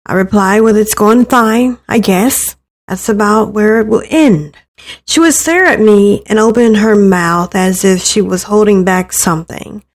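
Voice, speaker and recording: A woman's voice: mid-range voice, a little slow, lightly acted. A woman voicing an insecure, earnest eighteen-year-old daughter in a visual novel reading, hesitant and prone to stammering. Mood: wistful, uneasy.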